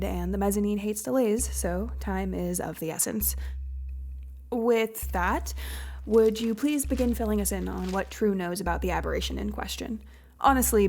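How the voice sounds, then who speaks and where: An actress playing a prim, brisk female public relations agent who speaks formally and a little condescendingly. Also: medium pitch, a little mellow, lightly acted. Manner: crisp, formal, slightly condescending. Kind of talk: audio drama acting.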